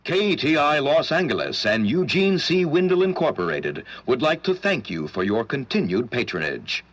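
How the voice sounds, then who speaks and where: A man's voice: mid-range voice, very animated, moderate pace, fairly bright tone. A man reading 1940s radio station promos in a polished, formal announcer manner.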